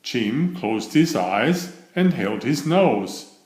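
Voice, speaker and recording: A male storyteller reads a children's picture book in a slow, measured way. His pitch moves up and down with lively expression. The room adds a clear echo around his voice. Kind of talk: read-aloud children's picture book narration.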